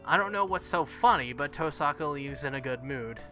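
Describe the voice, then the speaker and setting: A man's voice: on the high side, animated, moderate pace, bright, forceful tone, soft background music. A man hosting a game playthrough, reading a visual novel aloud expressively and adding jokey asides. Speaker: gaming video host.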